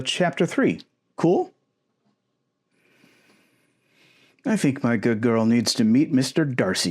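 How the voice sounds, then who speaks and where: A man's voice: medium pitch, darkish tone. A man acting a playful, goofy, affectionate shrunken boyfriend in an ASMR roleplay, casual and jokey with theatrical exclamations.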